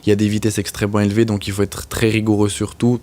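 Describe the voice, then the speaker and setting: A young man with a Swiss French accent answering interview questions in an earnest, steady way, using lots of little fillers. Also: fairly low pitch, fast. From French-speaking Switzerland. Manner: earnest, steady, with frequent "ben" and "on va dire". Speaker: motorcycle racer guest.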